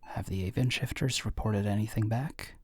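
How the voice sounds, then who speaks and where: A man's voice: fairly low pitch, normal speed, a little mellow, close to an everyday voice. A man playing a teasing, caring young teammate in an audio roleplay, with a wry manner. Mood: serious. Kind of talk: audio roleplay drama acting.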